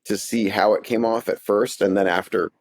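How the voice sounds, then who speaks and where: A middle-aged man, an actor, chatting on a podcast in a halting, self-deprecating way with many restarts. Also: on the low side, steady tone. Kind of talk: casual podcast chat.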